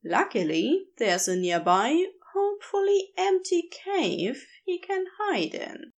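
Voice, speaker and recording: A woman reading a fan fiction story aloud as a podfic, in an expressive, deliberate narration. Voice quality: lively, expressive reading with wide pitch swings. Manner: slow, deliberate storytelling pace. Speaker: podfic reader.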